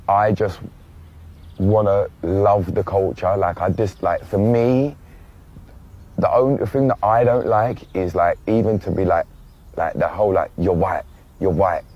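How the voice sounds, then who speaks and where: A man's voice: low-pitched, darkish tone. A man who is a dancehall and reggae artist, answering interview questions hesitantly with lots of fillers. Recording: dull lo-fi sound.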